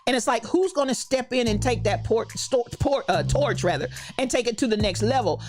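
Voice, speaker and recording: Woman, late fifties, pastor-host; high voice, animated and preacherly, faint music bed. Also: normal speed. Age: 50s. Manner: animated, preacherly, calls out to viewers. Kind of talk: lively livestream talk greeting chat.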